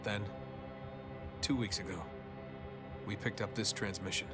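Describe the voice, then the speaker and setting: Gruff low-voiced American army captain played by an actor. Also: male voice, measured pace, over loud music, close to an everyday voice. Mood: reflective, grave. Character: weathered, commanding American special forces captain, dry and sardonic. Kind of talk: video game voice acting.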